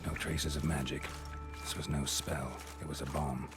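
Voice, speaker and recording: An actor playing a stoic, world-weary monster hunter in a video game, terse and deadpan. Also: male voice, rumbling low, moderate pace. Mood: pensive. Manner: terse, deadpan, muttering to himself. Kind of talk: dramatic video game dialogue. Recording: background music behind the voice.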